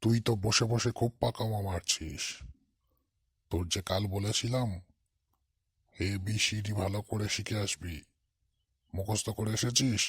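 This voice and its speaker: A man voicing a gruff, bossy cartoon schoolmaster who scolds in a husky voice. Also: on the low side, a little slow, long pauses, no echo, studio quality. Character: gruff, scolding village schoolmaster, easily exasperated adult man. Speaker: schoolteacher character. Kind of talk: comic cartoon dialogue.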